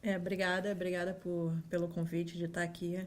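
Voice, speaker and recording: A woman's voice: fairly low pitch, little pitch movement, a little fast. A woman with a Carioca Brazilian accent, a guest in an office, telling her story in a chatty way with fillers and acted-out quotes. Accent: Carioca Brazilian Portuguese. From Rio de Janeiro, Brazil. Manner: chatty, many fillers and restarts, acts out quotes. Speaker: guest, data scientist. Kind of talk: casual interview storytelling.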